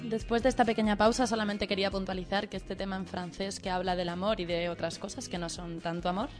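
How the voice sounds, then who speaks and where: A woman's voice: medium pitch, fairly even intonation, quick pace. A woman with a Spanish accent from Spain, co-hosting a radio show in an informal presenting style. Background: music.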